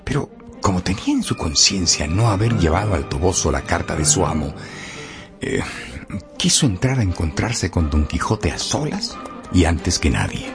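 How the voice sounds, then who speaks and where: A man narrating a scripted radio drama as a storyteller, in a dramatic and expressive way. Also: deep voice, normal speed, vocal fry, music in the background.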